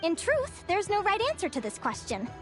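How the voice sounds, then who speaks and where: A bubbly young guild advisor from a video game, girlish and extremely high. She is chirpy, curious and gushing, with lively swings in pitch over clearly audible music. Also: normal speed, exaggerated voice. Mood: cheerful, lecturing. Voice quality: girlish, light.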